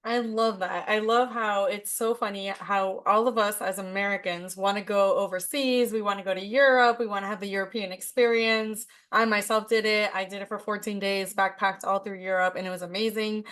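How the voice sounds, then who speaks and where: A woman's voice: medium pitch, steady tone, moderate pace, few pauses, a bit bright. A woman hosting a podcast interview, chatting in an upbeat, enthusiastic way. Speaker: podcast host. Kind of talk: casual interview chat.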